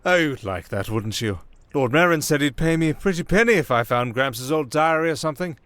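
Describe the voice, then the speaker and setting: A man's voice: a little high, expressive, fairly quick, fairly bright tone, clear, resonant tone, no room sound, pitched above the performer's own voice. A man voicing a pompous, sneering, drunken young aristocrat fallen from grace, haughty and theatrical, in a roleplay audio drama. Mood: smug, haughty.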